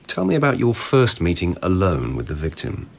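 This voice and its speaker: A very low-pitched male investigator, blunt and insistent. His delivery swings widely in pitch as he probes, in radio drama style, with faint ambient noise behind him. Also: huge pitch swings, creaky, heavily acted. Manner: brisk, insistent questioning. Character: blunt, probing male lieutenant investigating a palace murder.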